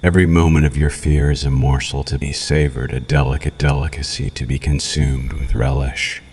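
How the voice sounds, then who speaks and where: A man's voice: a bass voice, flat delivery, normal speed, a little mellow, a little hiss or hum. A man playing a cruel, sadistic vampire captor in an ASMR roleplay, menacing and mocking in a theatrical way. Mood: hungry, menacing. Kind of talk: ASMR roleplay, scripted villain monologue.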